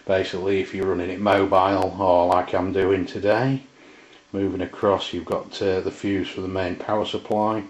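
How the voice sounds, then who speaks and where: A British man giving a casual, unscripted walkthrough as a hobbyist presenter, speaking in a halting way. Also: sits low. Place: indoors in a domestic kitchen. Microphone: camera mic, behind the camera. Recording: roomy, dull camera sound.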